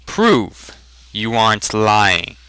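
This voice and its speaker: A man's voice: on the low side, very animated, extremely slow, pushed and bright, clear, resonant tone, dry recording, heavily acted. A man voicing a bold village boy who insistently challenges his elders in a dramatic fantasy reading. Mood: defiant.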